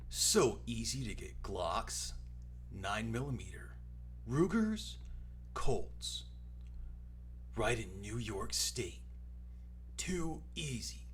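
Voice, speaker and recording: A man voicing a melodramatic, indignant online arguer in a theatrical, breathy dramatic reading. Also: fairly low pitch, big pitch swings, a bit bright, full character voice. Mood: mock menacing. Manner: slow, theatrical, breathy whispering. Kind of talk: dramatic reading of social media posts. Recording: noisy recording with clear hum.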